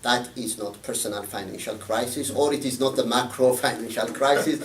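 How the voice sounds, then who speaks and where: A middle-aged man from Ethiopia whose first language is Afan Oromo, talking as an interview guest in a halting way with many fillers and restarts. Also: medium pitch, roomy sound, far from the mic, a little hiss or hum. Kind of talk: casual interview chat.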